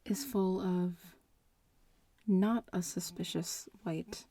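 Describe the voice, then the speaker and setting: A bratty young witch-in-training, played by a woman, whispers and murmurs in an ASMR roleplay. The voice is very breathy and full of fry, drawling slowly and nonchalantly, as if explaining things to a classmate. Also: on the low side, steady tone, mellow, no echo, heavily acted.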